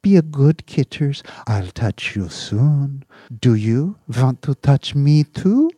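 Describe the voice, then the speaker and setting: Shrill, lively, fussy male bureaucrat, as a male narrator puts him on; dry close sound. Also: takes it slowly, husky, no echo, full character voice. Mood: cooing. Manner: high, shrill, wheedling and cooing. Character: fussy petty male official, Slope.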